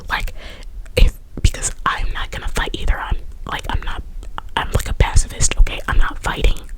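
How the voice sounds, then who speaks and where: A woman's voice: moderate pace, poor audio. A woman whispering a casual story-time in an ASMR style, chatty and full of filler words and restarts. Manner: whispering throughout.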